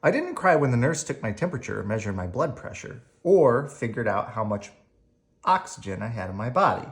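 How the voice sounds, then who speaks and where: An adult reading a picture book aloud to children in an expressive storytelling style. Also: medium pitch, brisk.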